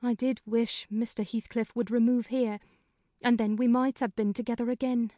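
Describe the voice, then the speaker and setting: A man's voice: very high voice, level tone, dark tone, no room sound, clean studio sound, pitched above the performer's own voice. A man voicing a talkative middle-aged female housekeeper in a plain, frank way in a storybook reading. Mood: chatty. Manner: brisk, talkative, plain-spoken. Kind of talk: audiobook character voice.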